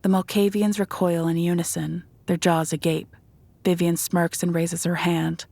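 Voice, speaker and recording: A woman's voice: fairly low pitch, flat delivery, measured pace, creaky, mellow, no room sound. A woman reading scripted, dramatic narration for a horror audio drama.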